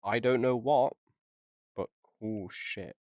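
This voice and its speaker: A man's voice: medium pitch, takes it slowly, a little mellow, dry recording, clean studio sound. A man co-hosting a casual unscripted podcast, joking and swearing as he rambles along. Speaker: podcast co-host and video editor.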